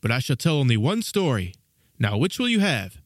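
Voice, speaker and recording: Man as jolly plump storybook storyteller, playful, teasing, animated intonation, dry recording. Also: mid-range voice, a little fast, no room sound, natural voice. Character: jolly little fat man telling stories. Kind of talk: dramatized children's storybook reading.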